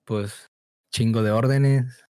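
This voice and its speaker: A man in his early twenties with a Mexican Spanish accent, born in the United States and raised in Mexico, chatting as a guest in a relaxed, hesitant, slangy way with lots of fillers. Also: mid-range voice, slow, smooth, dry recording. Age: 20s. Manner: laid-back, hesitant, slangy, many fillers. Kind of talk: casual chat.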